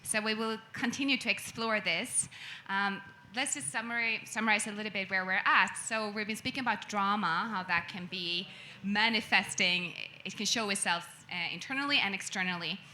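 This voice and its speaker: A woman's voice: mid-range voice, normal speed, few pauses, bright, forceful tone, slight background noise. A woman from Sweden co-hosting a panel discussion, speaking in a reflective way and rephrasing her questions as she goes. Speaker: panel co-host. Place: event venue with an audience.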